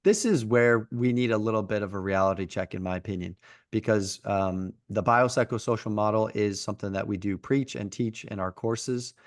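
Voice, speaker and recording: Podcast host guy, kinda low voice, dry close sound, friendly, lots of ums and restarts. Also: moderate pace, no room sound. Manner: friendly, with many fillers and restarts. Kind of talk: podcast interview.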